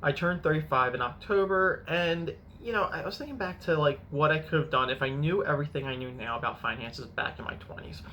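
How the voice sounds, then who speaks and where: A man's voice: fairly high pitch, a little fast, hardly any pauses, sharp tone, a little hiss or hum, rough recording. A man in his thirties telling his own money story in a casual, rambling vlog, often correcting himself mid-sentence. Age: 30s. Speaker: finance vlogger.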